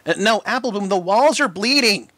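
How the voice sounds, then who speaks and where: A man's voice: sits high, expressive, moderate pace, fairly bright tone, no echo, pitched above the performer's own voice. A man voicing a prim, easily flustered young female pony, fussy in manner, in a read-aloud story. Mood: strained.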